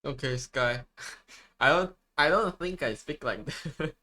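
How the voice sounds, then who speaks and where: Bright-voiced Malaysian English-accented man. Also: mid-range voice, takes it slowly. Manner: chatty, restarts words, reads answers aloud. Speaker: streamer. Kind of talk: casual live-stream chat.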